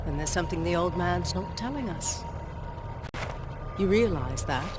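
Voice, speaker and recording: An actress playing a guarded, sardonic research scientist in a video game, speaking in a clipped, dry way. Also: female voice, fairly low pitch, animated, moderate pace, over music, lightly acted. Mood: ominous, guarded.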